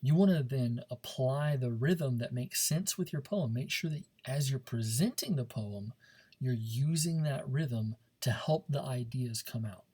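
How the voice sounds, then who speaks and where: Male speech teacher, soft-edged dark tone with some rasp, informal coaching delivery for a classroom lesson. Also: mid-range voice, expressive, unhurried. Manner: informal, coaching, checks understanding with frequent "okay" and "right".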